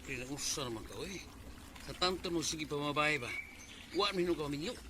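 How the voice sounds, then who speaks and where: A man's voice: on the high side, brisk, close to an everyday voice. A man acting a blunt everyday character in a radio drama. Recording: very noisy radio drama with ambience.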